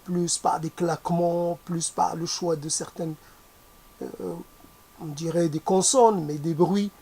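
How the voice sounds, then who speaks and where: A speaker whose first language is not French, musing aloud in a hesitant way, often pausing and restarting to search for the right word. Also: medium pitch, fairly even intonation, mellow, breathy edge. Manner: hesitant, many fillers and restarts, searching for words. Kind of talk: reflective spoken musing.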